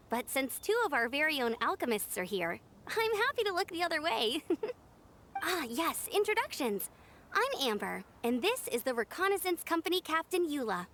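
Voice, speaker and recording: A woman's voice: high-pitched, sing-song, normal speed, a bit bright, exaggerated voice. A woman voicing a cheerful, outgoing young scout in a video game, bubbly and friendly. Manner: bubbly, friendly, laughs easily. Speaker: scout outrider.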